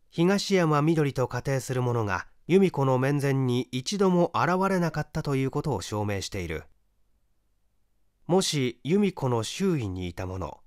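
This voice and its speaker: A man reading a mystery story as a narrator, in a measured, dramatic literary storytelling manner. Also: mid-range voice, no room sound, studio quality. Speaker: audiobook narrator, stage actor.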